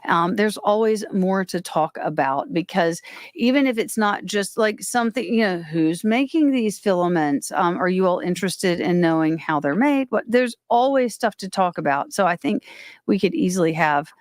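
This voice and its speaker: A woman's voice: on the low side, expressive, moderate pace, dry recording, clean studio sound. A woman hosting a casual livestream, talking in a rambling, self-interrupting way. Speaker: livestream host.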